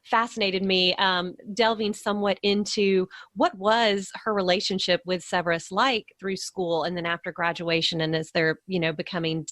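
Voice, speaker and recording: A woman's voice: on the low side, fairly even intonation, moderate pace, hardly any pauses, fairly bright tone, no room sound. A woman hosting a casual interview, putting her questions and thoughts in a tentative, thoughtful way. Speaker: podcast host, interviewer.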